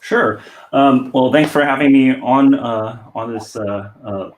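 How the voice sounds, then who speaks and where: A man's voice: medium pitch, slow pace. American man in a very echoey room. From United States. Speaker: panelist, university theology lecturer. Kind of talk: casual self-introduction. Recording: roomy, echoey sound.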